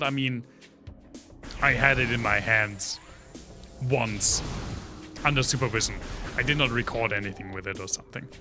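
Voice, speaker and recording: A man from Germany with a German accent, chatting casually on a livestream while he plays a game, rambling through trivia on a studio condenser mic. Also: mid-range voice. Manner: rambling, full of trivia, talks to chat between gameplay reactions. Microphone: studio condenser microphone.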